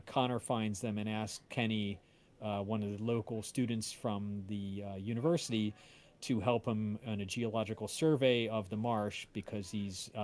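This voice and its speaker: A man's voice: lowish voice, darkish tone. A man hosting a casual livestream, talking in a rambling, hesitant way. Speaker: audio drama creator hosting livestream.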